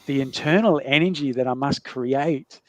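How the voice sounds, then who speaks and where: A middle-aged man recounts his past in easy conversation. His delivery rambles, with fillers, restarts and quick asides. His voice sits at an ordinary pitch, and the recording is dry and close. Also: a little mellow, no echo, dull audio. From Netherlands. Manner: rambling, many fillers and restarts, laughs between remarks. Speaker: guest sharing his health story. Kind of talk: casual conversational storytelling.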